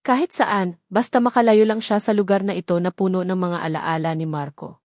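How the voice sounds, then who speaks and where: A woman's voice: medium pitch, fairly even intonation, a little fast, a little mellow, no room sound, clean studio sound. A woman with a Filipino accent reading a scripted dramatic story as its narrator.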